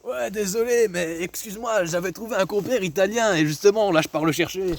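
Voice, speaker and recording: A man's voice: high voice, brisk. A young man clowning as a dim-witted sidekick in an amateur comedy sketch, stammering through questions. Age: teens or young adult. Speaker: comic sidekick in a school history video. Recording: homemade video with faint noise.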